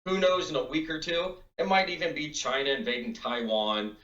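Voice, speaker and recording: A man's voice: fairly high pitch, moderate pace. Man, financial advisor, reassuring, far from mic, echoey room. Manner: reassuring, steady. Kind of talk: reassuring client update. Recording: roomy, distant, dull mic.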